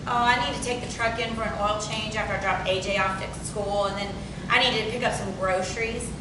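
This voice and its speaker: A woman's voice: fairly even intonation, a little fast, lightly acted. A woman acting a working-class wife and mother, affectionate yet firm, in a naturalistic drama table reading. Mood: affectionate, encouraging. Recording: very echoey, distant, rumbling noise.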